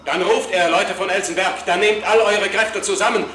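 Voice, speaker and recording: An actor playing a frantic lookout in a radio drama, calling out loudly and urgently in a declamatory way. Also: male voice, sits high, steady tone, normal speed. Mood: ominous, urgent. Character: frantic male lookout crying out a horseman's approach. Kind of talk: German radio drama acting. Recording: echoing, noisy radio play scene.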